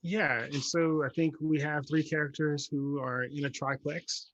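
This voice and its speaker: A man on a panel explaining his work casually, in a halting, measured way with many pauses. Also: on the high side, fairly even intonation, fairly bright tone, no room sound, lo-fi sound. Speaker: playwright on a panel.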